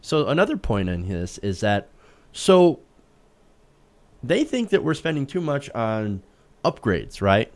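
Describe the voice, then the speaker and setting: A man's voice: mid-range voice, sing-song, slow, pauses often, darkish tone. A man co-hosting a political talk show, arguing his points emphatically with rhetorical questions. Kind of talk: opinionated news commentary.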